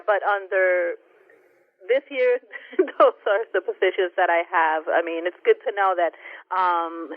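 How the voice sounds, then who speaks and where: A woman's voice: fairly low pitch, no room sound. A woman from Rico, a guest on a radio show, speaking earnestly with frequent hesitations over the phone. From Puerto Rico. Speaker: guest, parent advocate. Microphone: telephone. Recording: narrowband telephone line, dry.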